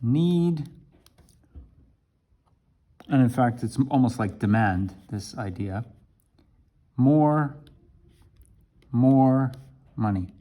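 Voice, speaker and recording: A man teaching an English lesson to camera, talking slowly and deliberately and checking in with "right?". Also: medium pitch, expressive, dark tone. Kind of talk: explaining a language lesson to camera.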